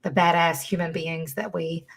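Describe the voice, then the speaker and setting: A woman from New Zealand with a New Zealand accent, a musician answering interview questions in an animated, playful way. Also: on the low side, moderate pace, creaky, slightly rough. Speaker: musician and guest.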